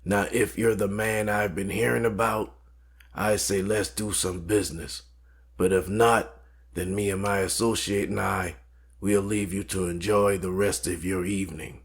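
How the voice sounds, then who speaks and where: A man acts out a smooth mob fixer for a crime audio drama. His voice is very deep with a rough, husky edge. He talks formally and deliberately, polite on the surface and coolly threatening underneath. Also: fairly even intonation, full character voice. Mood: cool, controlled menace.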